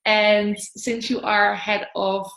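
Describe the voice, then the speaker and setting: A woman in her twenties from the Netherlands, hosting a casual interview over a video call in a friendly, unhurried way. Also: mid-range voice, level tone, gravelly, a bit bright. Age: 20s. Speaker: host. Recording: echoey room.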